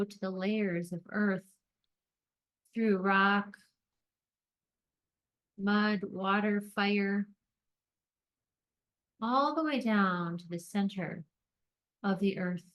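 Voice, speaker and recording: A woman leading a guided meditation, speaking gently in deliberate short phrases with long pauses between them. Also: mid-range voice, poor audio.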